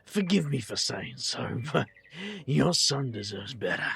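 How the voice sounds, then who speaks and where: A guarded young man in an audio drama, wry and a little hesitant. His voice is husky and creaky, with lively swings in pitch. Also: unhurried, full character voice. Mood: bitter.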